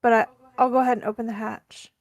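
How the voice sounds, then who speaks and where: A woman's voice: on the high side, little pitch movement, fast, no room sound. A woman chatting casually as a player in a tabletop roleplaying game.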